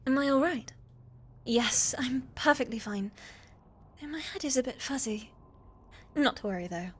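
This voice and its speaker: A woman's voice: high voice, animated, normal speed, husky. A woman playing a shy, sweet young witch's apprentice in an ASMR fantasy roleplay, polite, hesitant and eager to please. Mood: apologetic.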